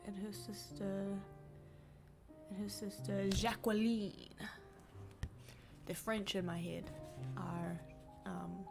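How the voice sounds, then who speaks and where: Slow, sniffly New Zealand woman. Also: medium pitch, little pitch movement, vocal fry, music in the background. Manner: chatty, self-deprecating, laughs often. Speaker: livestreamer reading a book aloud. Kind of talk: casual livestream chat and book reading. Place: at home.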